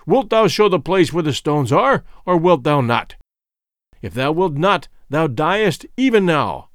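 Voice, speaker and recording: Storybook warrior king, played by a man: imperious, stern, archaic, measured pace, dry recording. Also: fairly high pitch, very animated, no echo. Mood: angry.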